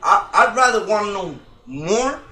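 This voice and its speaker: A man with a high, bright voice rambles emphatically through a casual podcast chat. He keeps checking that his listeners follow him. The sound carries a roomy echo. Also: animated, unhurried. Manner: rambling, emphatic, keeps asking "you feel me". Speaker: podcast co-host.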